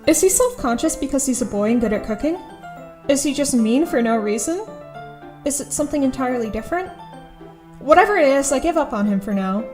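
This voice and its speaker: A woman's voice: sits high, lively intonation, fairly quick, a little mellow, soft background music. A woman playing an awkward, earnest teenage schoolgirl who narrates her thoughts in an audio drama, a little hesitant. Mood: exasperated.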